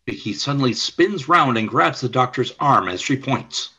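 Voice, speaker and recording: Bright, husky male radio play narrator. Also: mid-range voice, lively intonation, moderate pace. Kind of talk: scripted narration of stage action.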